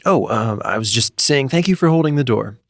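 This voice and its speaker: A man voicing a kind, fidgety young man, polite and hurried, in a comic story. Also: mid-range voice, animated, gravelly, no echo, clean studio sound.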